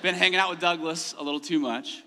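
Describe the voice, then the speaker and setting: A man's voice: fairly high pitch, fairly even intonation, fast, bright, forceful tone. A man preaching a sermon, telling funny self-deprecating stories and laughing often as he talks. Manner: humorous self-deprecating storytelling; laughs often mid-sentence. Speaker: pastor. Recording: long hall reverb.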